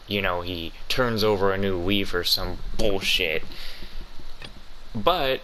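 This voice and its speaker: Bright-voiced, grumbling male gamer. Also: lowish voice, slight background noise, rough recording. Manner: grumbling, blunt, swearing. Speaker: gaming channel host. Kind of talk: casual playthrough commentary.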